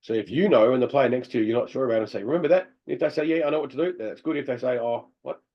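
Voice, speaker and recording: A man coaching amateur hockey players over a call, talking through game footage in an animated way and laughing often. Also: mid-range voice, racing, lo-fi sound. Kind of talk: video review of game footage. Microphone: video call.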